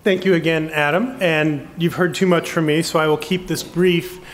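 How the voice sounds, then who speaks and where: A middle-aged man at a lectern microphone in a conference hall, briskly introducing a keynote speaker. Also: a little high, steady tone. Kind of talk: formal introduction.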